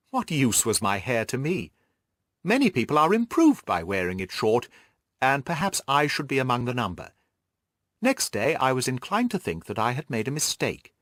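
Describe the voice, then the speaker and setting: A man's voice: medium pitch, a bit bright, dry recording, studio quality. A man voicing an earnest, anxious young Victorian governess who tells her story articulately in an audiobook.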